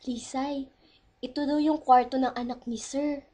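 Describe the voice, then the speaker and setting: A woman playing a cautious young hospital coworker who speaks in a hushed, cautioning way. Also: sits high, fairly even intonation, unhurried.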